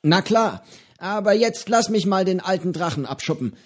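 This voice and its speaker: A man's voice: sits high, animated, normal speed, pitched above the performer's own voice. A man voicing an eccentric, cheerful old male pirate who lives on an island, talking easygoingly with small fillers. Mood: jovial. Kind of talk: audiobook character voice.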